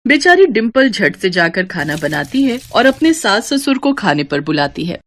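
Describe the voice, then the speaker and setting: A woman's voice: mid-range voice, very animated, normal speed, fairly bright tone. A woman telling a story as a scripted narrator.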